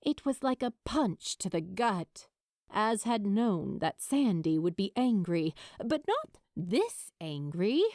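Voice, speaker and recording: Female fiction narrator, higher register, slow and dramatic, animated melody, dry audiobook sound. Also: no room sound. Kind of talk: expressive scripted fiction narration.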